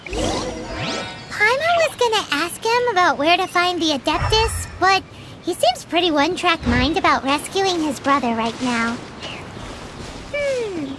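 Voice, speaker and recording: A woman's voice: very high voice, sing-song, measured pace, long pauses, over loud music, full character voice. A woman voicing a tiny, perky, blunt fairy companion with a childish manner in a fantasy game. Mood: thoughtful, chatty. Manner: perky, childish, refers to self in third person. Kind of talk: cartoonish fantasy game voice acting.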